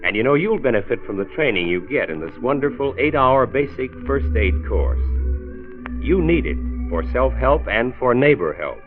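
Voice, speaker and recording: An American actor playing a reassuring, earnest county doctor who narrates a 1940s radio drama in a measured way. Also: male voice, mid-range voice, big pitch swings, music in the background, natural voice. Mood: earnest appeal. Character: folksy, reassuring male county health commissioner telling his story. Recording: tinny, band-limited old radio transcription disc.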